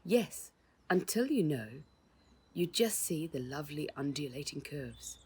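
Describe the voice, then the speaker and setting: A woman's voice: deep voice, very animated, a little slow, darkish tone, husky, heavily acted. A woman voicing an elegant, gracious lady visitor in a storybook, chatty, genteel and confiding. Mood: fond, wistful.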